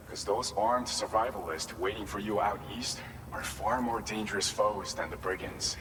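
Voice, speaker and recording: A man's voice: on the low side, sharp tone. A man playing a practical, plain-spoken outpost staffer in a video game, speaking with caution. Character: gruff practical male outpost staffer warning of danger. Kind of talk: scripted game dialogue. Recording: long echoing hall, faint noise.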